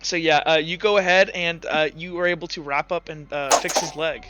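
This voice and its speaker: A man's voice: on the high side, brisk. A man speaking as game master in a casual, profane, informal way, narrating tabletop action and making rulings. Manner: profane, informal, animated storytelling. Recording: slightly dull, music playing underneath. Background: background music.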